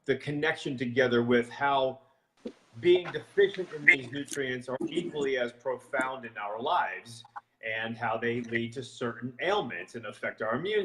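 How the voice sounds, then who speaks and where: A man hosting a live online health chat over a video call, rambling and restarting his sentences. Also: poor audio. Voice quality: booming voice. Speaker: host.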